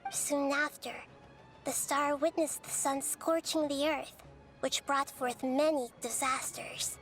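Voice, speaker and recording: High-voiced, wise little girl deity. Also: fairly even intonation, fairly bright tone, soft background music, low-quality recording. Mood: solemn storytelling. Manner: measured, thoughtful, explanatory. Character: small, wise, gentle girl deity, calm observer. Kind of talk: video game voice acting.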